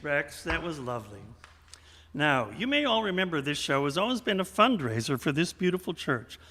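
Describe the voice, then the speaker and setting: A man with a slightly high voice hosts a live radio theater show. He is folksy and tongue-in-cheek, leaning theatrically on his words, and his voice rings out in a reverberant church sanctuary. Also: bright, forceful tone. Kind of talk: live stage hosting, storytelling. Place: large church sanctuary, indoors.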